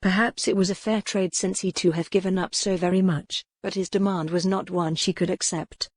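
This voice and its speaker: A woman's voice: on the low side, fairly even intonation, moderate pace, no echo. A woman reading scripted, dramatic narration as the narrator of a historical romance audiobook.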